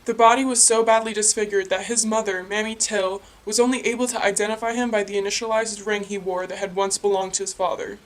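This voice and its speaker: A woman's voice: medium pitch, fairly even intonation, fast talker, hardly any pauses, poor audio. A woman reading a scripted news report as an anchor. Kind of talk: scripted newscast narration.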